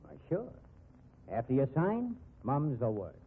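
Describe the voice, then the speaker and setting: A man's voice: mid-range voice, sing-song, a little slow, husky, heavily acted. An actor playing a crooked, bullying private investigator in a 1950s radio drama, with slangy, sneering tough-guy talk. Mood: pressing, smug. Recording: tinny band-limited 1950s radio recording.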